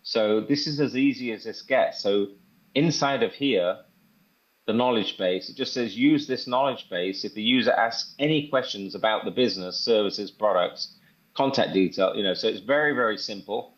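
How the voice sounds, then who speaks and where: A man presenting a software demo in an informal, step-by-step tutorial style. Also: lowish voice, normal speed, muffled recording. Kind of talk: casual screen-share tutorial walkthrough.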